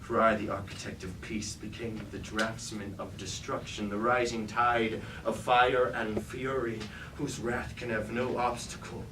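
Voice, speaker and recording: A brooding, commanding robot warlord played by a man in a stage tragedy, speaking in formal, archaic declamation. The voice is low and sounds roomy, with clear noise behind it. He is grandiose and resolute throughout. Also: little pitch movement, measured pace, hardly any pauses, natural voice. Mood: solemn, resolved. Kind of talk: staged reading of mock Greek tragedy. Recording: roomy, distant mic, clear background noise.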